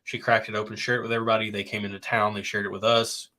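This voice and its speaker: A man's voice: fairly low pitch, level tone, very fast, sharp tone. A man co-hosting a casual whiskey livestream, chatty and joking, his voice stuffed up and congested.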